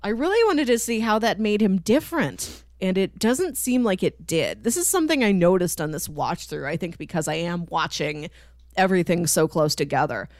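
A woman's voice: on the low side, sing-song, moderate pace, darkish tone, dry recording, studio quality. A woman co-hosting a podcast, talking casually through a TV show and acting out imagined lines as she explains. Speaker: podcast co-host. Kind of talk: casual conversational TV show discussion.

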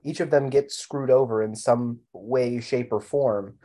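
A man co-hosting a podcast in casual discussion, speaking haltingly and doubling words for emphasis. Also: medium pitch, dark tone. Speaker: podcast co-host.

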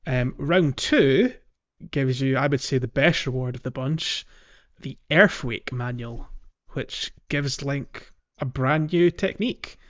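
A man's voice: medium pitch, dull audio. A man co-hosting a casual gaming podcast, explaining things in a hesitant way and checking for agreement. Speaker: podcast co-host.

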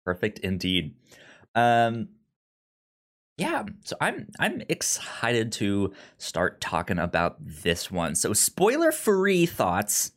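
An enthusiastic man co-hosts a casual movie review show. His pitch moves around in a lively way, and he often stammers and repeats words while he finds his thought. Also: slow pace. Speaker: podcast co-host.

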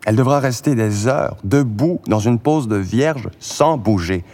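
An actor playing a stern parish priest who speaks in a solemn, preaching manner. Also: male voice, medium pitch, measured pace, darkish tone, natural voice. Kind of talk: film acting.

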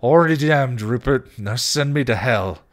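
A man's voice: on the high side, very animated, normal speed, fairly bright tone, voice pushed up. A man voicing an anguished, self-loathing vampire who pleads and rages frantically in British slang for a story. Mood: bitter, raging.

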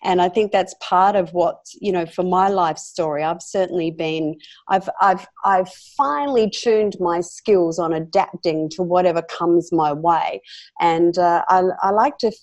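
A woman's voice: fairly low pitch, a little slow, darkish tone, no room sound. An Australian woman in her fifties, a founder and media commentator, talking chattily as a podcast guest with many fillers and restarts. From South Australia. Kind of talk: casual interview talk.

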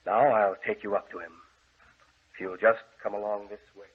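A stern formal gentleman in an old radio horror play, lowish husky voice, controlling. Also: little pitch movement, normal speed. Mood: firm, commanding. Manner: formal, solemn, commanding. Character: grave, controlling gentleman guarding his deranged brother. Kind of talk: 1940s radio horror acting. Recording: tinny, band-limited old radio recording.

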